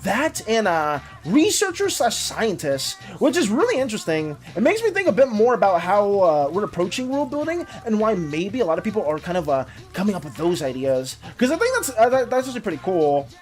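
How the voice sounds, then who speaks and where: Casual livestream host, animated, rambling, very lively pitch, mid-range voice, faint music behind. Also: a little fast, few pauses. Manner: animated, rambling, reacts to chat. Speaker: art and game streamer. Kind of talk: casual livestream chat with viewers.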